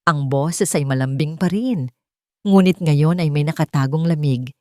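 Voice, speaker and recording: A woman's voice: sits low, a little slow, mellow, dry recording, clean studio sound. A woman with a Filipino accent narrating a scripted story in a dramatic storytelling style. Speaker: narrator of audio love stories.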